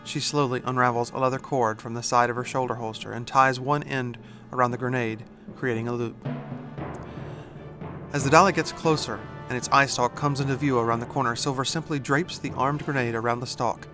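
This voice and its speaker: A man's voice: mid-range voice, fairly even intonation, fairly quick, over music. A man giving scripted, dramatic narration as the narrator of an audio drama.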